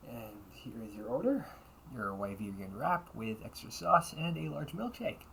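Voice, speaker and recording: A young butler cafe waiter , acted by a man, speaks in formal, deferential service phrases. His pitch moves in lively swings, in the manner of an amateur audio roleplay. There is some noise behind the voice. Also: normal speed, creaky, poor audio. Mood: courteous, formal. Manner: formal, courteous service talk with muttered asides.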